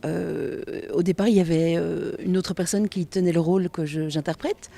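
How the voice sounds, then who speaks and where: A woman from Belgium gives a relaxed interview account. Her voice is mellow with noticeable fry, at an average pitch. She pauses and searches for words as she goes. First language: French. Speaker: actress. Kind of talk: interview, casual recounting.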